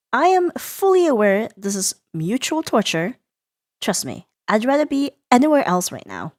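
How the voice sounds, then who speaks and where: A woman playing a sharp-tongued young female rival in an ASMR roleplay, huffy and sarcastic but grudgingly caring. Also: mid-range voice, no room sound. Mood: exasperated, mocking. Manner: sarcastic, huffy, theatrical sighs and drawn-out jabs. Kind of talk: ASMR roleplay audio drama addressed to the listener.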